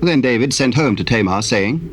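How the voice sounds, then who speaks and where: A man narrating a dramatized Bible, telling the story solemnly in measured, archaic English. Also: mid-range voice, expressive, slight background noise.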